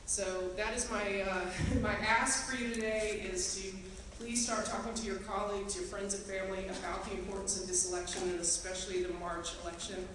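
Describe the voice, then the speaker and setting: A woman's voice: mid-range voice, steady tone, brisk, hardly any pauses. A woman, giving a chatty, earnest talk to a room of educators with many ums and you-knows. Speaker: speaker at a teachers' association meeting. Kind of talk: casual talk to an audience. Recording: roomy, echoey hall from far off with ambient noise.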